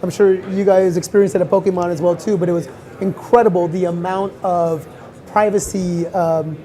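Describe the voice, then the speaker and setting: Woman, panel moderator, low mellow voice, rapid chatty delivery. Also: steady tone. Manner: chatty, quick, with fillers. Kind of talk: live panel discussion.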